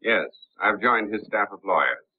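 An actor playing a cool, suave gentleman sleuth in a 1930s film, speaking smoothly and politely on a tinny soundtrack. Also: lowish voice, animated, moderate pace. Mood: cool, courteous.

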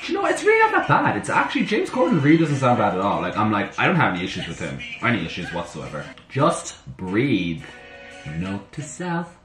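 A young man with a low voice and a slightly hoarse edge talks fast and excitably, swinging his pitch all over as he chats to himself. He is recorded in a roomy bedroom, with music playing faintly underneath. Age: young adult. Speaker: vlogger reacting to music. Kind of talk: casual reaction chat. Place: bedroom at home, indoors. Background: soundtrack music playing faintly.

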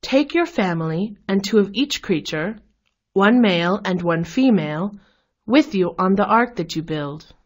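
A woman's voice: mid-range voice, lively intonation, unhurried. A woman narrator voicing a solemn, loving God giving commands in a children's storybook. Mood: solemn, commanding.

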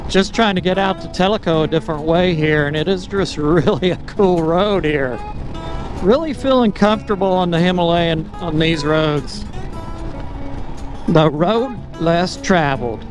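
A man's voice: fairly high pitch, unhurried, music in the background. A man riding a motorcycle on mountain roads, chatting to the camera in a rambling, self-amused way. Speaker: motorcycle vlogger. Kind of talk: casual ride commentary.

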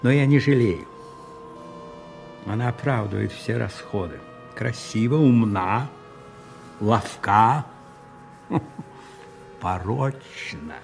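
A powerful, scheming cardinal in a radio drama. His voice is lowish and gravelly, and he speaks very slowly and deliberately, with commanding calm and savoured pauses. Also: faint music behind, heavily acted. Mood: sly, relishing. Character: scheming powerful cardinal, cold and calculating spymaster. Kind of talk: radio play acting.

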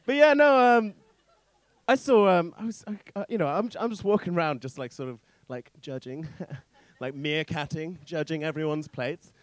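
A man in his thirties doing informal stand-up into a handheld mic, rambling, halting and sweary. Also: a little high, no room sound. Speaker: stand-up comedian. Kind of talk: stand-up comedy set, informal crowd banter. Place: on stage in a small indoor comedy club. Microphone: handheld stage microphone.

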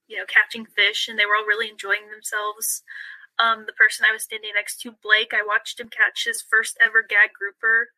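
A young woman speaks with enthusiasm as a podcast guest, her voice a little high and very bright. The sound is close and dry, with no room around it. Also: no room sound, poor audio. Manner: enthusiastic, repeats "awesome". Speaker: college fishing club member, guest. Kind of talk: podcast interview answers.

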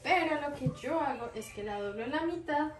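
High-voiced young Colombian woman, noisy recording. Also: lively intonation, brisk. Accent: Colombian Spanish. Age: young adult. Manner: affectionate, uses many diminutives. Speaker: vlogger and mother. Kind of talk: casual home vlog chat. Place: indoors in an apartment.

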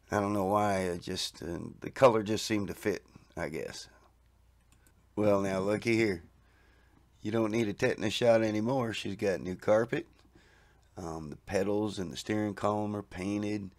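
Man, middle-aged, folksy and easygoing, low gravelly voice, dry close recording. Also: dry recording. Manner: folksy, easygoing, chuckles at his own jokes. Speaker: garage video host. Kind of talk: casual narration over photos.

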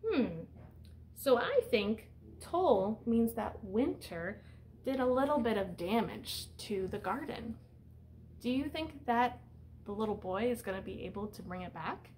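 Slow, higher-pitched woman reading to children. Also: animated, darkish tone, a little hiss or hum. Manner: instructive, simple phrasing aimed at young children. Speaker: teacher reading aloud to children. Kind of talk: picture-book read-aloud with questions to young listeners. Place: small room indoors.

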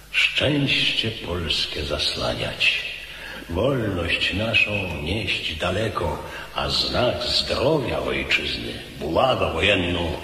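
An actor playing a proud, grave military commander in a radio drama, speaking in solemn, oratorical declamation. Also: male voice, sits low. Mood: solemn pride. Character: proud, grave Polish commander, sorrowful and loyal. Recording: echoey hall effect with faint noise.

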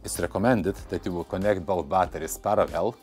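Friendly man in his forties, low voice, outdoor lapel mic, faint music underneath. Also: fairly quick, a little mellow. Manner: friendly, addresses viewers as guys, non-native phrasing. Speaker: vlogger testing a battery. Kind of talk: scripted voice-over intro, then casual on-camera chat. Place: outdoors on a stone barn patio. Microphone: clip-on lapel mic.

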